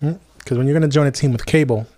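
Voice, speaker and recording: A man's voice: medium pitch, rapid-fire, mellow. A man co-hosting a casual movie podcast, laid-back and dry, with a teasing streak. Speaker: podcast co-host.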